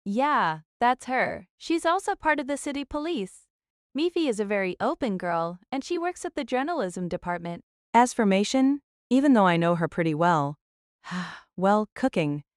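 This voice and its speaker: An easygoing student character in an anime audio drama, acted by a woman. The voice is clean and smooth at a middling pitch, recorded dry and close. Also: sing-song, moderate pace, dry recording, close to an everyday voice. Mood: friendly, matter-of-fact. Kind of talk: scripted audio drama dialogue.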